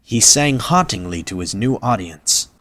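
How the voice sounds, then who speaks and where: A man narrates a dramatic story from a script. His voice is a little on the low side, in the role of an audio drama narrator. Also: expressive, measured pace, pushed and bright.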